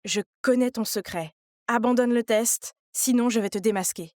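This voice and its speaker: A woman voicing a menacing, curt anonymous blackmailer who gives orders in an animated story. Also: a little high, moderate pace, no echo, studio quality, voice pushed down, close to an everyday voice. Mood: cold threat. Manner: curt, commanding.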